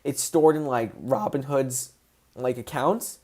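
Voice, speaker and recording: A man hosting a casual livestream, excitable and answering viewers' chat questions as he goes. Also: medium pitch, slow. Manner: excitable, voice rises when passionate.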